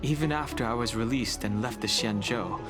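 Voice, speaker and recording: A man playing a stoic young exile in a video game, reserved, serious and deliberate in his delivery. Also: medium pitch, natural voice. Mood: bitter, somber. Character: stoic young male exile burdened by his past life. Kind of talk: dramatic video game voice acting. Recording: music behind the voice.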